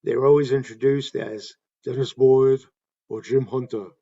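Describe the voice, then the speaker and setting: A man hosting a tribute talk, reading anecdotes in a halting way and correcting himself as he goes. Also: mid-range voice, fairly even intonation, mellow, dull audio. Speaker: host paying tribute to a stadium announcer.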